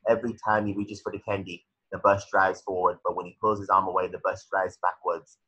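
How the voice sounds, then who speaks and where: A man's voice: low voice, little pitch movement, a little fast, sharp tone, husky, dull audio. A man narrating a cartoon script's stage directions in a matter-of-fact way, sometimes stumbling over the words. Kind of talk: reading a cartoon script aloud.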